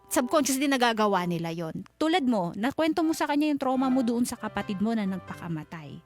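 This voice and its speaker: A very high-voiced Filipino woman gives on-air advice as a radio host. She speaks in an emphatic, conversational way, slipping into English, with music playing behind her. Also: sing-song, fairly quick, a little mellow. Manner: emphatic, code-switches into English. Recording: music bed under voice. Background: background music.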